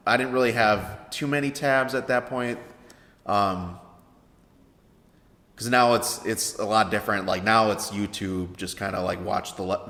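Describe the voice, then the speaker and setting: A man in his thirties with a baritone voice, answering interview questions in a hesitant way with many fillers. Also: sharp tone. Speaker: interview guest, veteran songwriter. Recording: echoey room with long reverb.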